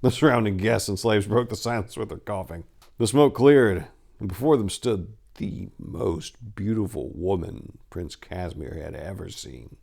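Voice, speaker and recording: Deep-voiced man reading a fantasy tale. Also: expressive, moderate pace, creaky, a little mellow. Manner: theatrical storytelling. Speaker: story narrator.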